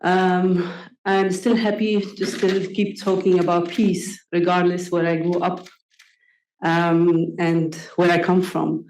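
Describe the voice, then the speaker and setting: Slow, earnest woman. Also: fairly low pitch, level tone, a little mellow, a little hiss or hum. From Palestine. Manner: earnest, measured, with frequent pauses and ums. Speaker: panelist. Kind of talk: panel discussion answer. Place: conference panel. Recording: echoey conference room.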